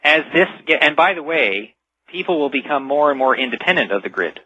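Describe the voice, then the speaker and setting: A man is being interviewed as an expert on a radio show. His voice has a tense, bright edge and some rasp as he lays out his explanation emphatically. The sound is dry and slightly dull, like a lo-fi broadcast. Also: a little high, expressive, fairly quick, dry recording.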